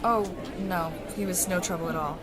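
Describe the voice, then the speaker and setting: A woman playing a wary, flustered young survivor in a sci-fi audio drama, with a hesitant and expressive delivery. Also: on the low side, normal speed, a crowd in the background, close to an everyday voice. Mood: friendly, awkward.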